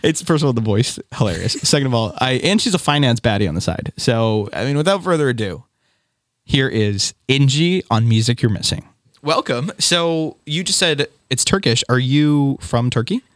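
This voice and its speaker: Young man, podcast host, animated, giggly, swooping pitch, dry close sound. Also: moderate pace, no echo. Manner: animated, giggly, rambling with fillers. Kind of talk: upbeat podcast chat.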